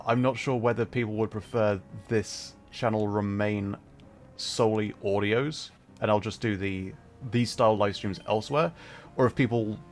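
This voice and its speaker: A man with a fairly low voice talks casually as he hosts a gaming livestream. He rambles in a thoughtful way, moving between the game and chat. Faint music plays behind him. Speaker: livestreamer and host. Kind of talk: casual livestream chat over gameplay, reading out and answering chat.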